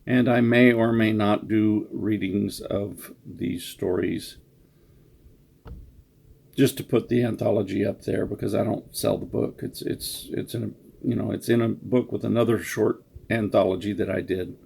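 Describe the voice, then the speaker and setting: A man introduces his writing casually and without a script. His voice is husky and gravelly with a soft, dark tone. He speaks slowly and haltingly, with a self-deprecating manner. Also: lowish voice, steady tone. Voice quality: husky, creaky. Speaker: author introducing his own story.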